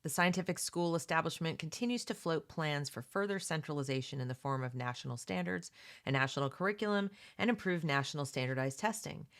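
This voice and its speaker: A woman's voice: low-pitched, expressive, a little fast. A woman hosting a read-along, mixing reading aloud with casual, opinionated commentary in an emphatic, conversational way that addresses listeners directly.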